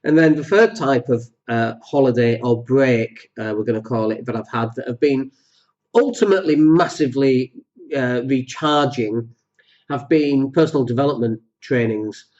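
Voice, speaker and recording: A man hosting a solo podcast, talking with a cold that makes his voice deeper, rambling and jokey with many fillers. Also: moderate pace, darkish tone, highs cut off. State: has a cold, voice deeper than usual. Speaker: podcast host.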